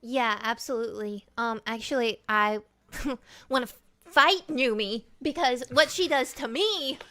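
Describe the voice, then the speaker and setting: A young woman singer talking casually as a guest, bubbly and quick to laugh, swearing freely. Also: a little high, sharp tone. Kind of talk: casual chat.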